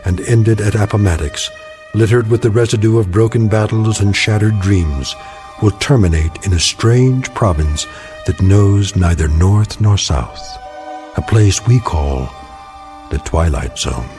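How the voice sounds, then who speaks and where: Low, mellow man narrating solemnly. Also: soft background music. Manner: measured, portentous. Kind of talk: solemn scripted drama narration.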